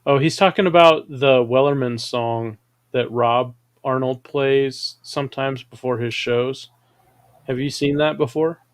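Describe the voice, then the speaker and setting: A man's voice: mid-range voice, fairly even intonation, a little slow, dry recording. A man hosting a casual livestream chat, explaining ideas at an easy conversational pace with fillers. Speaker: show host.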